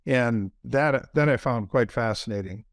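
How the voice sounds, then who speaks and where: A man's voice: mid-range voice, a little slow, no echo, clean studio sound. A man co-hosting a podcast, talking casually and rambling with many fillers.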